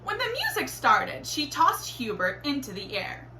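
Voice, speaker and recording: A woman reading a children's storybook aloud in an expressive, deliberate teacherly way. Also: high voice. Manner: animated, deliberate storytelling with acted exclamations. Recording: roomy echo, faint background noise.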